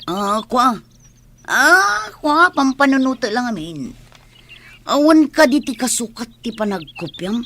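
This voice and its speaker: A woman playing a sly, eager sidekick in a radio drama, persuasive and animated, with a Filipino accent. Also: a little high, takes it slowly, heavily acted.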